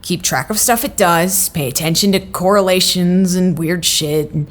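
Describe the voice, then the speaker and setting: A woman playing an exasperated, no-nonsense supervisor in an audio drama, speaking in a dry, businesslike, sometimes halting way. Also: fairly low pitch, close to an everyday voice. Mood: serious. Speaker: voice actor in a fiction podcast.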